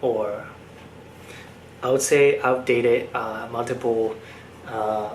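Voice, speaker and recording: A man's voice: mid-range voice, fairly even intonation, takes it slowly. A young man from Japan with a Japanese accent, talking casually to camera as a vlogger, full of fillers and restarts. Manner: hesitant, many fillers and restarts. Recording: echoey room, faint background noise.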